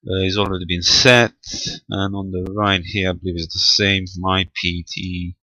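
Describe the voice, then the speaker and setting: A man giving an unscripted hands-on technical tutorial as an amateur radio demonstrator, matter-of-fact and halting as he thinks aloud. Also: deep voice, flat delivery, pushed and bright, dry recording, poor audio.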